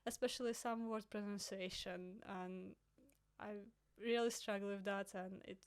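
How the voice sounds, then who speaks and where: A woman from Latvia with a Russian accent, speaking as a guest in an earnest, halting way. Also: medium pitch, fairly even intonation, clear, resonant tone.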